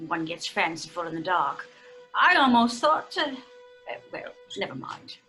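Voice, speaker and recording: A woman's voice: on the low side, sing-song, sharp tone, soft background music, heavily acted, rough recording. A woman playing a genteel, chiding middle-aged English mother and hostess in an old-fashioned radio drama, with an English accent. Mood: genteel, chatty. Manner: prim, brisk, proper. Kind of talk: 1930s-style radio drama acting.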